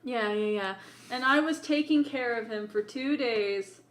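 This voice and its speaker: A woman's voice: on the high side, a little slow, slight background noise, low-quality recording. A woman co-hosting a casual podcast, telling stories in a playful, teasing way, peppered with "like". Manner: playful, teasing, often says "like". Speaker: podcast co-host. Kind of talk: casual chat, animated storytelling.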